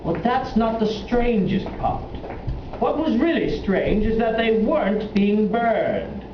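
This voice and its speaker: A man voicing an earnest, puzzled royal assistant in a children's puppet show, telling things deliberately. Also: sits high. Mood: earnest storytelling. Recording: echoey, muffled, noisy room, far from mic.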